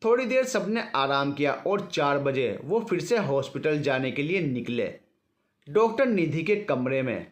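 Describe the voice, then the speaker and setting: An Indian man narrates a scripted story. His voice sits on the higher side and moves in lively swings of pitch. He delivers it expressively, acting out the dialogue as he goes. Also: measured pace.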